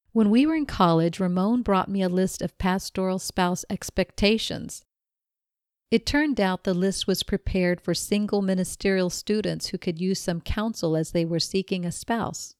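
A woman reading a written magazine interview aloud in a measured, even manner. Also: mid-range voice, dry recording, studio quality. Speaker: reader of a magazine article.

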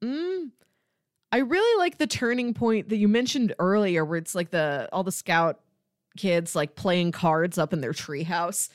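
A young adult woman co-hosting a podcast, discussing film casually and reflectively in an expressive way. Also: lowish voice, a little slow, no room sound, studio quality. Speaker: podcast co-host.

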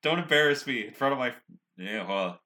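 Bright-voiced man playing a tabletop game. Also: medium pitch, animated, moderate pace. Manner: jokey, sweary. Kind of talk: casual improvised role-play.

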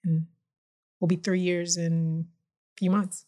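A woman with a somewhat low voice, speaking as a guest on an interview podcast. She tells her story in a chatty, relaxed way and keeps checking in with a quick "right?". She grew up in Houston and works as a chemist and founder. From Houston, Texas. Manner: chatty, frequently tags sentences with "right?". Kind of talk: casual interview conversation.

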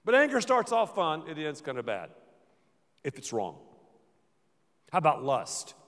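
A man preaching a sermon as pastor, playful and dramatic, often dropping to a low murmur. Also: huge pitch swings, unhurried, a bit bright. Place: large church hall. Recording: reverberant church hall.

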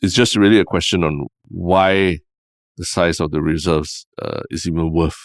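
A man's voice: deep voice, steady tone, normal speed, clear, resonant tone, no echo, studio quality. A man with a Singaporean accent co-hosting a podcast, talking in an easygoing way, repeating words and often ending lines with "lah". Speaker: podcast co-host. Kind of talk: casual chat.

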